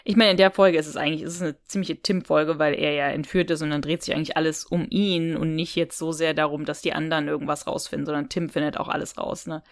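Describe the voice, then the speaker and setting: A woman's voice: sits low, little pitch movement, quick pace, few pauses, dry recording, studio quality. A woman co-hosting a podcast, talking casually and colloquially as she thinks aloud about an audio drama. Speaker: podcast co-host.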